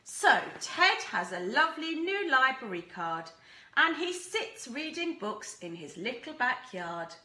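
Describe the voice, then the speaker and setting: A woman teacher reading a rhyming storybook aloud to young children in an expressive, deliberate way. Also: high voice, roomy sound. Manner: animated, deliberate, acts out characters and asks listeners questions.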